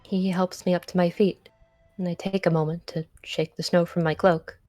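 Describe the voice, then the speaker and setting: A woman's voice: lowish voice, little pitch movement, normal speed, warm tone, no room sound, natural voice. A woman voicing a reserved, curious traveler who narrates a fantasy visual novel. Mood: subdued, reflective. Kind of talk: visual novel character reading. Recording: music behind the voice.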